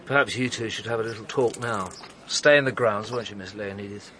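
Blunt, wry police inspector. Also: male voice, lowish voice, a little fast, pushed and bright, slight background noise. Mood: pressing. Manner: dry, blunt, needling. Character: wry, pressing male police chief inspector. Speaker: actor. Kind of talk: radio drama acting.

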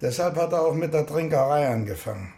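Gravelly, chatty older hobbyist man. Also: fairly high pitch, animated, mellow. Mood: confiding, bitter. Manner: colloquial, halting asides. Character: older male model-ship club member, chatty and opinionated. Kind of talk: naturalistic radio drama acting.

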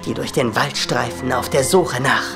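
An actor playing a teenage older brother telling a creepy tale in a German audio drama. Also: male voice, mid-range voice, moderate pace, vocal fry, music in the background, lightly acted. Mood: ominous, teasing.

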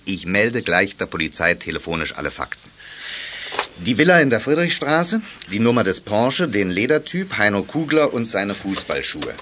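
An actor playing a stern but caring middle-aged father in a radio play, admonishing in a measured, explanatory way. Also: fairly low pitch, big pitch swings, bright, forceful tone, slight background noise. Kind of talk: German radio play acting.

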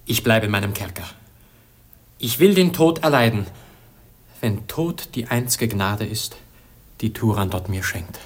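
An actor playing a noble, anguished young prince in love, declaiming solemnly in a classical radio play. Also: male voice, lowish voice, expressive, unhurried, long pauses.

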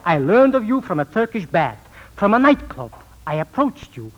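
Creepy swindler in old radio play, high swoopy voice, formal and theatrical, muffled recording. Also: male voice, sing-song, moderate pace. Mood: bitter, grandiose. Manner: theatrical, formal, precise diction. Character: sinister bitter small-time male swindler and phony psychic. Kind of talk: 1940s radio acting.

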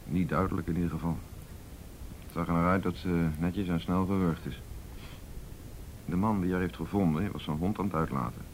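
World-weary detective played by a man, low voice, blunt and factual, radio play with faint rumbling noise. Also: fairly even intonation, moderate pace, pauses often, natural voice, poor audio. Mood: matter-of-fact. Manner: blunt, matter-of-fact. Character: blunt, world-weary male police detective briefing a colleague. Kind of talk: radio drama acting.

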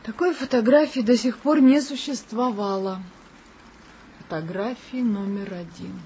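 A woman's voice: medium pitch, a little slow, warm tone, slight background noise, lightly acted. An actress in a radio play as a dreamy, easygoing young woman photographer with a languid, playful manner. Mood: teasing.

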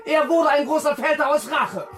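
An actor playing a proud, defiant young Prussian crown prince in a German radio drama, dreamy and declamatory. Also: male voice, very high voice, lively intonation, normal speed, fairly bright tone, soft background music. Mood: defiant, impassioned. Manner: theatrical, declamatory.

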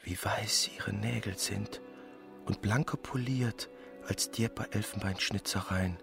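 Smitten, musing country doctor, gravelly. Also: male voice, lowish voice, fairly even intonation, measured pace, music in the background. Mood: entranced, musing. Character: smitten young country doctor, wistfully admiring a woman. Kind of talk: literary radio play.

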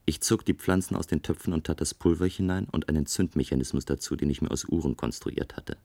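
An actor playing a brooding male inventor in a radio drama, narrating and speaking in a measured, deliberate, detached way. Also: rumbling low, vocal fry, dark tone, no room sound, full character voice. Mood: brooding, matter-of-fact. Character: brooding male inventor, coolly destroying his own great tower. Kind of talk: German radio drama, first-person narration and dialogue.

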